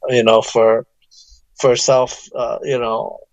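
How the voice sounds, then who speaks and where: A man's voice: mid-range voice, little pitch movement, slow, dry recording, lo-fi sound. A man co-hosting a radio talk show in casual chat, reacting with frequent short agreements. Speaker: radio co-host. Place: radio studio.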